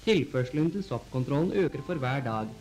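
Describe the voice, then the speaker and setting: A man reading scripted 1940s newsreel narration in a formal, measured announcer style on an old film soundtrack. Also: mid-range voice, expressive, a little mellow, soft background music, rough recording. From Norway.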